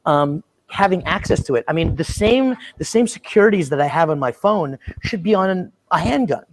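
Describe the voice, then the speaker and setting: A man chatting casually as a panel guest, earnest and halting, with many restarts. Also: on the high side, expressive, background noise. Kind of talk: casual chat.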